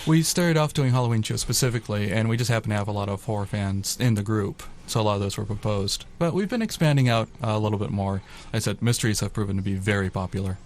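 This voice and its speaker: A man's voice: lowish voice, fast, hardly any pauses, slight background noise. A man being interviewed on radio as a member of a theater group, explaining things matter-of-factly. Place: college radio station.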